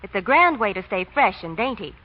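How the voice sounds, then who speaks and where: A woman's voice: medium pitch, very animated, a little fast. An actress playing a chatty, cheerful young woman with an American accent in 1940s radio advertising dialogue. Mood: chirpy. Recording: dull vintage radio recording.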